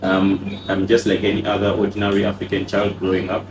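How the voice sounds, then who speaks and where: A man from Uganda, a pharmacist and company founder, answering interview questions in a calm, measured way. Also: sits low. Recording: echoey room, noisy background.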